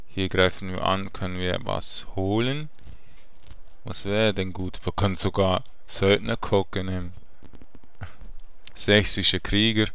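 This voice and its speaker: A man giving relaxed, chatty gameplay commentary with slangy asides. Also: deep voice, slow, creaky, pauses often, smooth, dry recording. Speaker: let's play gamer.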